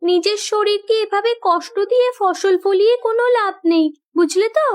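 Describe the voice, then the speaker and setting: A woman playing an earnest young landlord's daughter in a Bengali cartoon, gently protesting and caring. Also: very high voice, a little fast.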